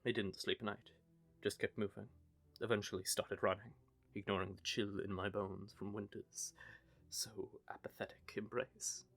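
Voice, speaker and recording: Man playing a gravelly, wry young knave. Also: fairly low pitch, flat delivery, normal speed. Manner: wry, rambling storytelling with dark humour. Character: young male knave, wry, haunted by grief. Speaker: confessing rogue. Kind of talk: hobby audio drama monologue.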